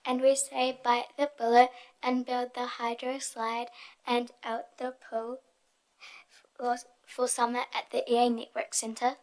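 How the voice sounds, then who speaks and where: A woman's voice: on the high side, level tone, a little slow, a little mellow. A young woman reading her part of a prepared presentation as a youth speaker. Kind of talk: prepared group presentation, read aloud.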